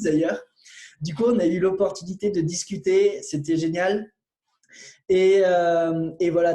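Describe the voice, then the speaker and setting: A man hosting a conference who introduces the speaker in a halting way. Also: on the high side, dark tone, reverberant. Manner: halting, many fillers and restarts. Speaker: conference host.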